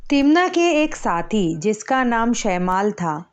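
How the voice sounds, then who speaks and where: Slow, high-voiced woman storyteller. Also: very animated, lo-fi sound. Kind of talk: scripted children's story narration.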